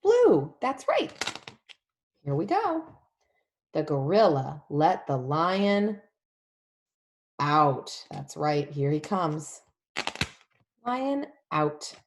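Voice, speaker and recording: A woman leading an interactive storybook read-along for young children, animated and emphatic, using simple repeated phrases and questions. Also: low-pitched, extremely slow, pauses often, slight background noise. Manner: animated, emphatic, often drops to a whisper.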